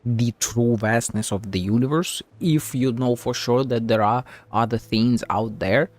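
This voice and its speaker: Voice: medium pitch, unhurried. A game streamer giving casual live reaction commentary, chatty, blunt and sweary. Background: game music.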